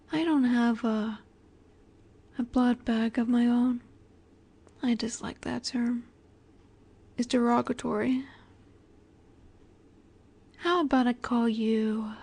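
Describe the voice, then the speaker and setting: A woman playing a seductive, possessive vampire in an intimate roleplay audio drama, teasing and coaxing in a soft, breathy voice that often slips into whispers. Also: fairly high pitch, full character voice.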